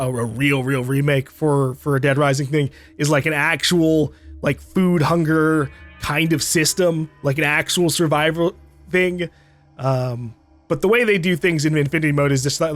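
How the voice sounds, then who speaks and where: A man with a somewhat high voice gives a casual game review as a video host. He talks off the cuff in a loose, rambling way, halting and circling back as he goes. Music plays behind him. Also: fairly bright tone.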